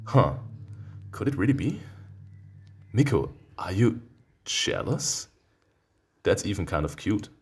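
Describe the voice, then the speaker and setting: A man reading as a low-voiced gentle visual novel hero. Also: sing-song, slow pace, pauses often, slightly rough, soft background music, full character voice. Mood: teasing. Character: gentle, teasing furry visual novel protagonist, conciliatory.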